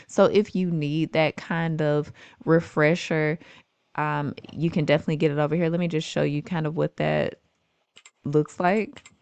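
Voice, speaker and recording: A woman with a low, clear and resonant voice narrates an informal on-screen walkthrough as a video host. She explains things in a conversational, reassuring way. The sound is close and very dry. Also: a little mellow, dry recording. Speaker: YouTube host presenting remote job listings.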